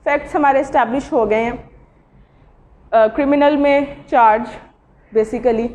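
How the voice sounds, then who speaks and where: A woman with a Pakistani accent giving an informal law lecture, speaking haltingly and mixing in English legal terms. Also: sits high, fairly even intonation, darkish tone. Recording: dull lo-fi audio.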